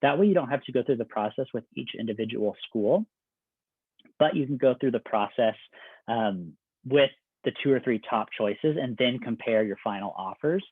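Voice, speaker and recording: A man working as an admissions counselor, giving a conversational, step-by-step presentation with everyday examples. His voice is getting a little raspy. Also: medium pitch, expressive, moderate pace, no echo. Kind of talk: informational presentation. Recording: dry, phone-like video call audio.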